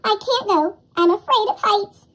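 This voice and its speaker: A woman's voice: normal speed, a bit bright. A woman voicing a timid, nervous big male steam engine in a storybook, fretful and plaintive, with a chipmunk effect. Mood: moaning, afraid. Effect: sped-up chipmunk pitch shift.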